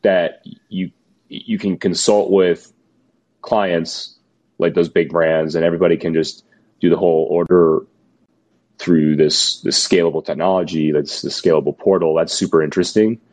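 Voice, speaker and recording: A man co-hosting a podcast, a little hoarse from being sick, musing skeptically in casual chat. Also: sits low, fairly even intonation, moderate pace, darkish tone. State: slightly losing his voice, sick. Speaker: podcast co-host.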